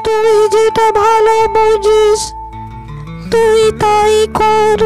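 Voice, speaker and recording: Lady doing a tired sick older village mom for a cartoon, very high voice, plaintive, music behind. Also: monotone, very slow, distant mic, exaggerated voice. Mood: weary, plaintive. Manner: plaintive, yielding. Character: ailing, weary, loving older village mother. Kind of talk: animated moral-tale cartoon dub.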